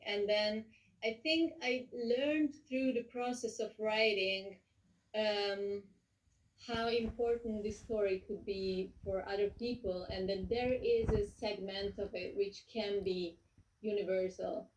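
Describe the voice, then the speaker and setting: A woman's voice: medium pitch, a little mellow, recorded from a distance, lo-fi sound. A woman, a screenwriter answering in a panel Q&A, hesitant with many restarts. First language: Hungarian. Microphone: video call. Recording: roomy video call audio from off-mic.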